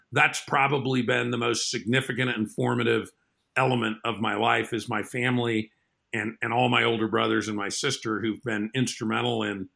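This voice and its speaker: A man's voice: medium pitch, creaky, sharp tone. A man in his fifties, a former Marine guest on a podcast, answering in an earnest, reflective way with frequent restarts. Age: 50s. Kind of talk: conversational interview answers.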